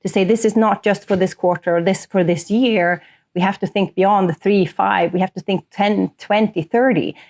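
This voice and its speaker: A woman from northern Sweden whose native language is Swedish, in her thirties or early forties. She speaks at a medium pitch, in the earnest, explanatory way of an executive answering interview questions. Her delivery is conversational. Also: steady tone, normal speed, a little mellow. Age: 30s to early 40s. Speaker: corporate sustainability executive, interview guest.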